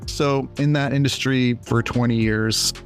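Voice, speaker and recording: A man's voice: mid-range voice, steady tone, measured pace, soft background music. A man in his late forties, a developer telling his story in a casual, rambling, self-deprecating interview. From USA. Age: 40s. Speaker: indie game developer guest.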